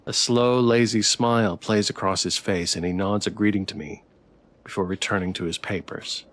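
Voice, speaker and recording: A man live-streaming a story game, switching between casual, playful, halting chat with viewers and reading narration aloud. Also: lowish voice, steady tone, a bit bright. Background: background music.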